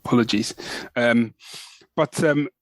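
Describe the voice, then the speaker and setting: A man's voice: medium pitch, a little slow, no echo. A man co-hosting a podcast, chatting casually and rambling with restarts. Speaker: podcast co-host. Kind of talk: casual chat.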